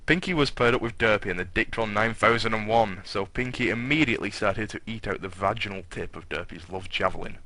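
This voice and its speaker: Guy with a lowish but bright forceful voice reading fanfic out loud, sweary, keeps stopping to comment. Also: a little fast, few pauses, dry recording. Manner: irreverent, foul-mouthed, breaks off to comment. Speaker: narrator of a fan fiction reading. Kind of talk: dramatic reading with casual banter.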